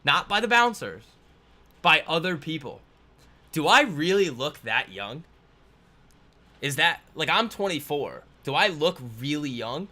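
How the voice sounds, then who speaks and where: A man's voice: fairly high pitch, big pitch swings, measured pace, pauses often, pushed and bright. A man in his twenties hosting a casual trading livestream, rambling and laughing as he reads viewer comments aloud. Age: 20s.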